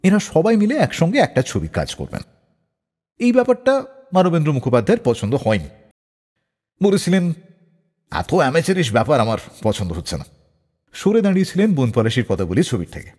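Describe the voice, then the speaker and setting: Animated Indian male radio storyteller. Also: on the high side, brisk, long pauses, breathy edge. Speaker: radio show host. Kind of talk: radio storytelling narration between songs. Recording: long reverb, slightly blurred voice, faint music. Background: faint music.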